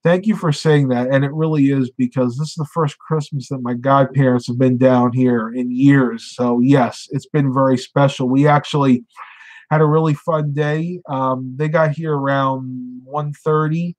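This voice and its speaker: A man's voice: mid-range voice, steady tone, moderate pace, darkish tone, poor audio. A man hosting a casual live stream, chatting and reading viewer comments with lots of fillers, sounding congested as he loses his voice.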